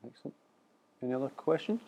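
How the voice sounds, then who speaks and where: A man hosting and moderating a live question-and-answer session, brisk as he calls for questions and wraps up. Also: medium pitch, expressive, vocal fry, dark tone. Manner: brisk, wrapping up and calling for questions. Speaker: host and moderator.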